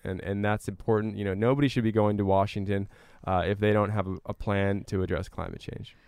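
Guy getting interviewed, kinda low clean voice, earnest explainer, super dry sound. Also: little pitch movement, a little fast, darkish tone, smooth, no room sound. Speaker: climate educator and guest. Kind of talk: conversational interview answers.

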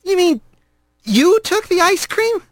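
A man voicing a nervous, quick-witted young male rat who speaks hesitantly and politely. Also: extremely high pitch, animated, sharp tone, dry recording, clean studio sound, voice pushed up, full character voice. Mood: stunned.

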